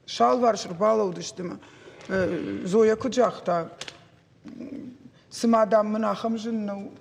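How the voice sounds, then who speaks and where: A native Ossetian-speaking man with a husky voice, giving a halting, unscripted account. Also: high-pitched, steady tone, slight background noise. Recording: very echoey, reverberant room.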